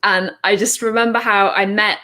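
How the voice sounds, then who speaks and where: A woman video creator talking casually on a panel, with a relatively deep voice, laughing often and restarting her sentences. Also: steady tone, normal speed, sharp tone. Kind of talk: casual online panel chat.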